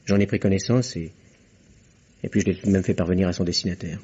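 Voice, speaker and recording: Fast-talking shrewd investigator, husky. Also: male voice, deep voice, mellow. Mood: composed, explanatory. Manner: explanatory, matter-of-fact, assured. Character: shrewd, composed male investigator explaining his deductions. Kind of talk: French radio mystery drama acting. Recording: muffled lo-fi vintage radio recording.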